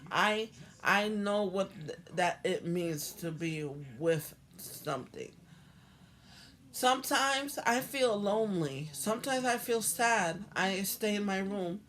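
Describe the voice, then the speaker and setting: Hoarse, slow-talking woman. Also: medium pitch, expressive, a bit bright. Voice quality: halting, repetitive, with many restarts. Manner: earnest, heartfelt. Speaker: vlogger. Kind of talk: personal talk to camera.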